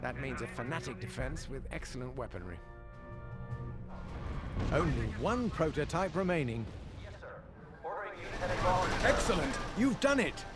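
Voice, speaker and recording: An actor playing a shrewd, earnest army officer, giving measured briefings in a video game cutscene. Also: male voice, medium pitch, sing-song, loud music behind the voice. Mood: impressed, urgent.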